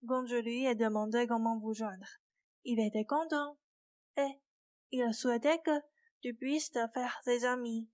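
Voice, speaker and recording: A woman playing a gentle, frank young fairy-tale heroine in a student radio play. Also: fairly high pitch, steady tone, a little slow, natural voice. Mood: gentle, curious.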